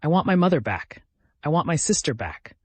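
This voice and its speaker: A man voicing a vengeful, calculating woman in an audiobook, deliberate and accusatory, with bitterly controlled grief. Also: a little high, sing-song, breathy edge, no echo, pitched above the performer's own voice. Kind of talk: dramatic audiobook character reading.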